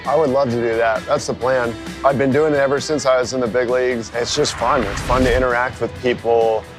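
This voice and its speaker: A man's voice: mid-range voice, steady tone, fairly quick. A man, a ballplayer, answering interview questions in a casual way. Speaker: baseball player being interviewed. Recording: clear guitar music bed under voice. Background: guitar music.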